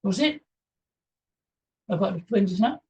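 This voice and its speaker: A man from Malaysia answers audience questions over a video call, repeating each question aloud and hedging with fillers. His voice is mellow and a little husky. The call audio sounds dull and lo-fi. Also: sits high, expressive, a little slow. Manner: reads out chat questions, answers with hesitant fillers. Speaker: presenter. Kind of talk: Q&A after an online talk.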